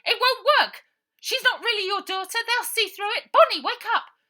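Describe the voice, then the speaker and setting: A woman's voice: very high voice, expressive, normal speed, pushed and bright, voice pushed up, full character voice. A woman voicing a brave, desperate young girl in a storybook, urgent and defiant as she protects her sister.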